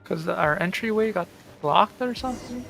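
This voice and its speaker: A young adult gamer talks casually through gameplay. The voice sits at an ambiguous middle pitch. Game music plays clearly behind. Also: lively intonation, normal speed, fairly bright tone. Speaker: gaming streamer.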